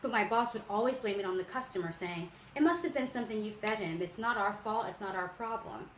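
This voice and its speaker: A young woman gives a student speech in an earnest, practiced delivery. Her voice sits at an average pitch. The room adds clear echo, and there is faint noise in the background. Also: brisk. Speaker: student public speaker. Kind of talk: prepared persuasive speech.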